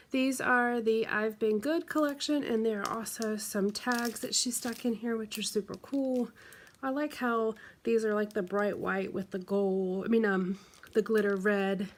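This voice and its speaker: A woman from the United States, congested from the flu, chatting casually with lots of ums and likes as she shows off scrapbooking supplies. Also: fairly high pitch. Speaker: craft vlogger. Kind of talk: casual show-and-tell unboxing.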